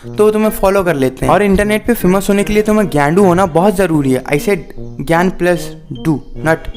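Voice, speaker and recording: Higher-pitched young Indian man over music. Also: a little fast, a little mellow. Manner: mock-earnest, emphatic, switches between Hindi and English. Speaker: video host. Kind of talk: sarcastic scripted comedy monologue to camera.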